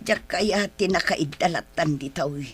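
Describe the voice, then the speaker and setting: Husky, long-suffering elderly Filipino mother. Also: lowish voice, measured pace, fairly bright tone, full character voice. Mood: complaining. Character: ailing elderly mother, long-suffering, gently chiding wife. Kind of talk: radio drama acting.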